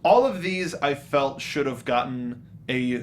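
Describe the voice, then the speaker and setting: A man's voice: mid-range voice, animated, measured pace, slight background noise. A man co-hosting a casual panel talk about film, who often restarts and repeats phrases as he thinks aloud. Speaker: talk show co-host.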